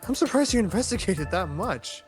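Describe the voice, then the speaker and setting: A woman with a mid-pitched, very animated voice plays around with game dialogue among friends. She takes her time and sounds theatrical. Game music runs clearly behind her. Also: a little fast. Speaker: participant reading game dialogue aloud. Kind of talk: casual chat mixed with playful dialogue reading. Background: video game music.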